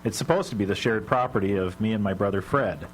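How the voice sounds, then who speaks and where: A man's voice: on the low side, quick pace, close to an everyday voice, low-quality recording. Man acting flustered, defensive husband, faint hum. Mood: aggrieved. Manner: earnest, defensive. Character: aggrieved, flustered middle-aged husband in family feud. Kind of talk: live radio drama acting. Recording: live radio broadcast with hum.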